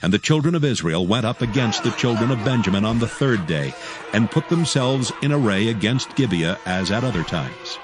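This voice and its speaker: Low-voiced male scripture narrator over music.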